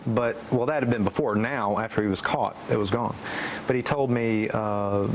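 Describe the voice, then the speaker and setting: A man's voice: fairly low pitch, slight background noise. A man recounting his own story as an interview guest, stammering and restarting as he goes. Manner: halting, stammering delivery. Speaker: interview guest, former political aide.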